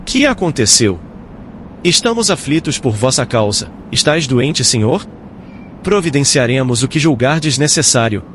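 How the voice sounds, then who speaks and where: A man plays a devoted servant in an audiobook drama. His medium-pitched voice is earnest, anxious and deferential, with a faint rumble of noise underneath. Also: very animated, unhurried, fairly bright tone. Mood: worried, solicitous. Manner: earnest, solicitous.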